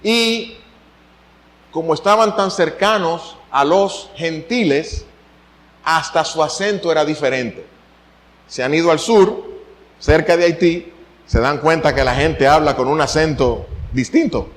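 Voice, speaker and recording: A middle-aged Dominican man preaching in Spanish-accented Caribbean style, his voice sitting a little high for a man. He delivers an animated sermon, lifting his pitch sharply whenever he drives a point home. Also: unhurried. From Dominican Republic. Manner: animated preaching, voice swinging up for emphasis. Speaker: pastor.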